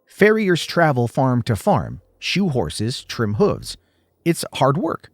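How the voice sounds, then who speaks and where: A man voicing an older woman librarian, brisk, knowing and matter-of-fact, in an audio horror story. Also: medium pitch, huge pitch swings, slightly rough, dry recording, heavily acted.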